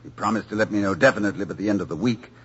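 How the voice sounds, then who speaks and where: An actor playing a genteel, witty college president with a dry, urbane manner, in 1940s radio acting on a dull old broadcast recording. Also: male voice, fairly low pitch, racing, a bit bright, a little hiss or hum, lightly acted. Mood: wry. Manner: urbane, dry wit, chuckles often.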